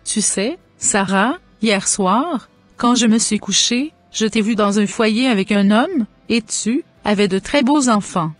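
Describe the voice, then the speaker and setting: A woman playing a pious, joyful middle-aged mother in an animated religious story, speaking in solemn, formal blessings. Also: mid-range voice, measured pace, no room sound, soft background music, natural voice. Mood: glad, careful.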